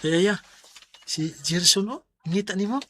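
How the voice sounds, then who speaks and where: A man's voice: high voice, normal speed. An actor playing an easygoing, teasing young man who flusters easily, with a Cebuano accent, in radio drama style. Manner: animated, teasing, exclamatory. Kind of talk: Bisaya radio drama acting. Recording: noisy radio drama recording.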